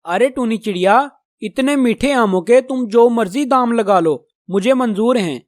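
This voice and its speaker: A man voicing an eager, effusively flattering male parrot in a children's cartoon. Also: very high voice, normal speed, no echo, clean studio sound. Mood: eager, admiring.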